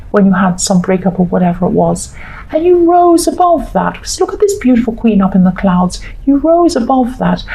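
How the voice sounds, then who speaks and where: A woman giving a rambling, reassuring tarot reading with a slightly croaky voice. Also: on the high side, low-quality recording. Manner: gentle, reassuring, rambling with self-corrections. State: croaky, clearing throat. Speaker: tarot reader. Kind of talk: casual tarot reading monologue.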